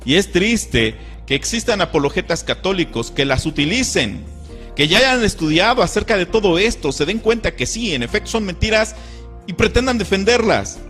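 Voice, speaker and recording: A man's voice: high-pitched, very animated, moderate pace, fairly bright tone, faint music behind. A man giving a scripted sermon-style commentary, earnest and indignant as he quotes scripture.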